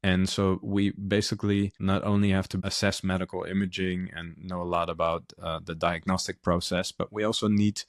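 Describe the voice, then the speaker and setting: A man with a Dutch accent speaks in a low, level voice, pausing often on ums and uhs as he explains things earnestly. The recording is dry and close, with no room sound. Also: level tone, no echo. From Netherlands. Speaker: podcast host, physician. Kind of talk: solo podcast monologue.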